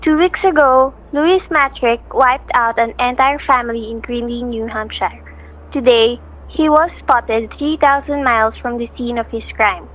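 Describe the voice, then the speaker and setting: A sober, deliberate newsreader played by an actress with a high voice, reading a formal bulletin. She sounds tinny, as if from a small radio speaker. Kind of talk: radio news bulletin in a drama.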